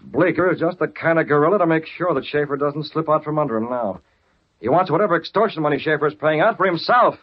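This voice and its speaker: Husky, resolute American radio hero. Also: male voice, a little high. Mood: grave, determined. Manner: brisk, authoritative. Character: resolute newspaper publisher, secretly a masked vigilante. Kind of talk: 1930s-40s American radio drama acting. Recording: muffled vintage radio recording.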